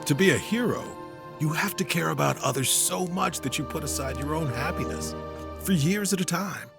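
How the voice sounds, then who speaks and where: A man playing a weary, middle-aged retired hero in a fantasy audio drama, earnest and solemn in his measured speeches. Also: fairly high pitch, creaky, loud music behind the voice. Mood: solemn, encouraging.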